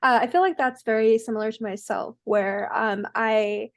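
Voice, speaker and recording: A woman's voice: on the high side, fairly even intonation, moderate pace, no echo. A woman hosting a podcast, asking questions casually with many fillers. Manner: friendly, filler-heavy. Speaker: podcast host. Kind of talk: casual interview.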